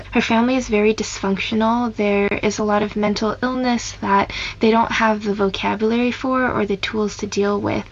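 A young woman novelist in her twenties answers interview questions thoughtfully, with a gravelly edge of vocal fry. The sound is dull and somewhat lo-fi. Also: medium pitch. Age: 20s. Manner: thoughtful, articulate, some fillers. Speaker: novelist guest.

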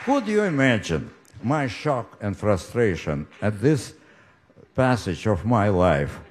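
A man in his late nineties with a Russian accent, born in Ukraine, telling a personal story on stage in a halting way, with frequent pauses and restarts. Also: lowish voice, sing-song, crowd noise, background noise. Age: 90s. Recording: live audience in the room.

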